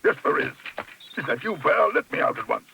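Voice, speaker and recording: Man acting a blustering British grumpy neighbour, creaky-voiced. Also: a little high, big pitch swings, brisk, bright, forceful tone, distant mic, pitched above the performer's own voice, heavily acted. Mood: impatient. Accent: British English. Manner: blustering, peremptory, indignant. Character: grumpy, bossy, self-important male neighbour.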